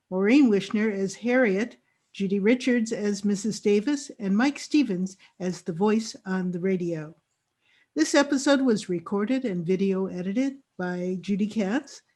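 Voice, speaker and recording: A woman's voice: mid-range voice, a little mellow. A woman announcer reading scripted credits.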